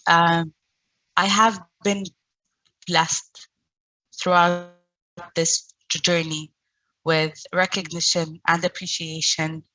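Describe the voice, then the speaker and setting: A middle-aged woman who stutters, giving an online presentation as founder of a stuttering support group, with pauses and repeated sounds. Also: lowish voice, little pitch movement, pushed and bright, no echo, highs cut off.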